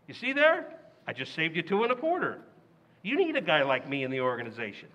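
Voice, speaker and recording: A man's voice: a little high, wildly swooping pitch, quick pace, sharp tone, highs cut off, full character voice. An actor playing a blustery, boastful working-class father in an old-time radio play recreation, mangling big words.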